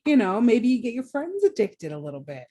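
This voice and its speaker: A woman's voice: fairly high pitch, very animated, a little fast, mellow. A woman co-hosting a podcast, chatting casually and playfully and joking often. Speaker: podcast co-host. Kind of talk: casual chat.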